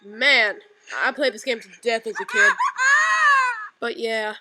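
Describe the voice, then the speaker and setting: A woman's voice: on the high side, sing-song, measured pace, noisy background. A young woman giving casual commentary as she plays through a video game. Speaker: let's play gamer. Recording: game music behind voice.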